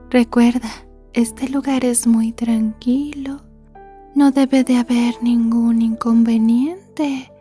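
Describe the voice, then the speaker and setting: A woman's voice: fairly high pitch, fairly even intonation, extremely slow, pauses often, warm tone, faint music behind. A woman playing an obsessive, sweetly menacing yandere schoolgirl in an ASMR roleplay, murmuring with mock-polite menace. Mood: cold menace.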